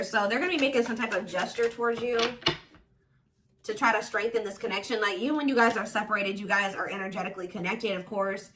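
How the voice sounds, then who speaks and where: A woman's voice: fairly high pitch, a bit bright. A woman giving a casual, intuitive tarot reading to viewers, speaking informally and with emphatic assurance. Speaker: tarot reader, host.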